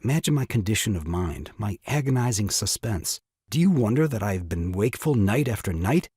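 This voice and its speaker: A man's voice: lowish voice, very animated, fairly quick, a little mellow, breathy edge, dry recording, clean studio sound. An actor playing a self-pitying, exasperated new father in an audio farce, with grandiloquent, melodramatic delivery. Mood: melodramatic woe. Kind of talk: theatrical audio drama farce acting.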